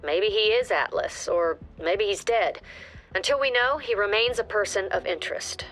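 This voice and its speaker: A woman voicing a mission commander with a mid-range voice who gives orders in a crisp, matter-of-fact tone. Game music plays clearly beneath her. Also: mid-range voice, normal speed, a bit bright, natural voice. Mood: commanding. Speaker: mission commander giving briefings. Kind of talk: game briefing voice acting.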